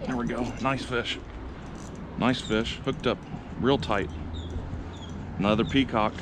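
A man vlogging while fishing outdoors, narrating casually and cheering loudly when fish bite. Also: medium pitch, slow pace. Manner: excitable, bursts of loud cheering on catches. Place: outdoors by urban water, windy. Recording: windy outdoor audio, clear background noise.

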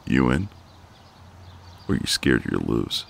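A man playing a flirty, cocky but gentle ex-marine neighbour in an intimate ASMR roleplay, teasing in a folksy way. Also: extremely low pitch, very animated, slow pace, vocal fry, slight background noise, heavily acted.

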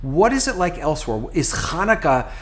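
Middle-aged American rabbi hosting over video call. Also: male voice, on the high side, moderate pace. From United States. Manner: animated, gestures a lot, frequent restarts. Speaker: rabbi and podcast host. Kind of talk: casual conversational hosting. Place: home office with bookshelves.

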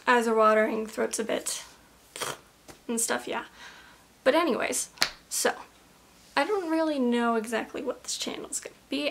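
A young woman chatting to her camera in a small room, rambling and giggling self-consciously, her voice a little raspy from allergies, recorded on the camera's own mic. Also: a little high. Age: young adult. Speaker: vlogger. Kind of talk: casual chat to camera.

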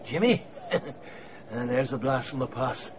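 An actor playing a wily, cagey Scottish street beggar in a radio drama, sly and teasing. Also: male voice, mid-range voice, expressive, a little slow, highs cut off, noisy background, close to an everyday voice.

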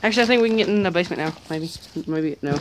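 A man's voice: high-pitched, very fast. Young male vlogger, casual and profane, handheld phone audio outdoors, very noisy. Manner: loose, profane, jumpy. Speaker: vlogger exploring abandoned buildings. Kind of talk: casual handheld exploration chat. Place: outdoors in woods and ruined sheds. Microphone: phone camera carried while walking. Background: rain and loud handling noise.

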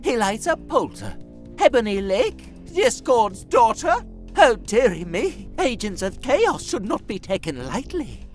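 A woman's voice: sits high, heavily acted. A woman playing a sweet, whimsical, chatty mobster's sister in a cartoon audio drama.

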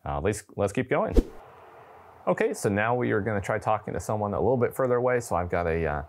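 Casual low-voiced young man. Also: big pitch swings, fast talker, a little hiss or hum. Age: 20s. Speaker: presenter demonstrating ham radio gear. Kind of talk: casual product demo and radio chat. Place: indoor studio with wood-panel backdrop. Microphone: lapel mic.

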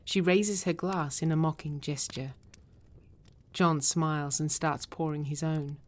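A woman narrating a drama script, reading stage directions in a measured, matter-of-fact way. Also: deep voice, steady tone.

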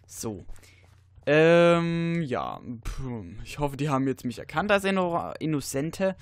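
A teenage boy with a cold, giving rambling casual commentary over a video game with many fillers and sudden excited outbursts. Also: on the high side, slow pace, clear, resonant tone, no echo. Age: teens. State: stuffy, has a cold. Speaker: let's player.

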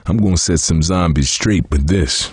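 An actor voicing a big, cocky, good-humored middle-aged survivor coach in a zombie video game, with a folksy Southern American accent and emphatic, salty delivery. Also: male voice, sits low, breathy edge, no echo, studio quality, full character voice. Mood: gleeful, cocky. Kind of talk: video game character voice lines.

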